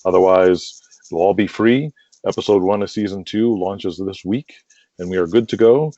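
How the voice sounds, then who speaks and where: Man, low-pitched, podcast guest, casual agreeable talk, slightly dull audio. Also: moderate pace, warm tone. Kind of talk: casual interview chat. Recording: dull lo-fi roomy call audio.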